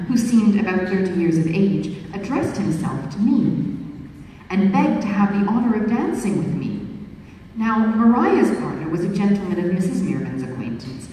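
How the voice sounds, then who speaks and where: Woman reader at a book launch, expressive and measured, lively pitch, echoey hall, distant mic. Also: slight background noise. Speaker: author reading her book. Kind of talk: reading a period novel aloud at a book launch.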